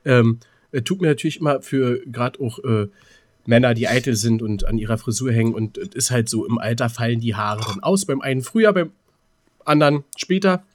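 A man's voice: medium pitch, fairly quick. A man chatting casually as co-host of a podcast. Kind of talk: casual chat.

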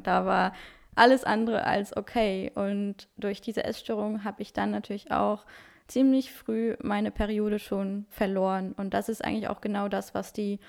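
A woman's voice: medium pitch, gravelly, darkish tone. A woman in her twenties from Luxembourg, speaking German with a Luxembourgish accent as a podcast guest, earnest and with fillers. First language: not German. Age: 20s. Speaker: interview guest, coach. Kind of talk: casual interview talk.

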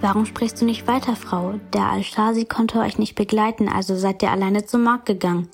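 A woman's voice: mid-range voice, fairly even intonation, brisk, gravelly, soft background music, close to an everyday voice. A woman as a probing, authoritative mistress. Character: noblewoman, mistress questioning slaves.

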